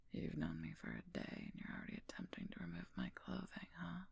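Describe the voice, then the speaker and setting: Woman acting whispering, gravelly female werewolf knight. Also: a little mellow, no room sound, full character voice. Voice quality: breathy, husky, gravelly whisper. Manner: slow, dry, teasing, addresses listener as Highness. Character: gruff, teasing female werewolf knight, wounded loner protector. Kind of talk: intimate whispered audio roleplay. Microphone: close microphone, dry.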